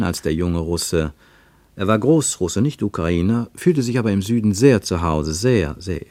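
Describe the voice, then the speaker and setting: A man's voice: lowish voice, dry recording. A man narrating a literary radio drama from a script.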